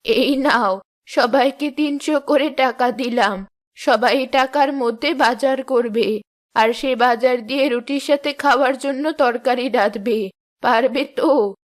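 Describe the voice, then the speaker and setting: A woman's voice: high voice, measured pace. A woman playing a commanding, firm mother-in-law who runs her household in a fairy-tale cartoon. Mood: instructing. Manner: bossy, declarative, firm.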